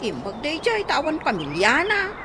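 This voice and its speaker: A very high-voiced, pleading Ilocano student. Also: female voice, wildly swooping pitch, moderate pace, heavily acted. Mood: worried, pleading. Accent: Filipino (Ilocano). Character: worried, pleading student, child of a poor widow. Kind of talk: Ilocano radio drama acting. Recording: noisy radio drama recording.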